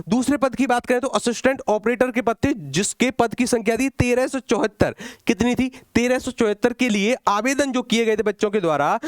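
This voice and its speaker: Male exam coach, notably high voice, close dry sound, emphatic question-and-answer teaching delivery. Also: no echo. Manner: direct, conversational address to students. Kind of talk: exam-update lecture to students.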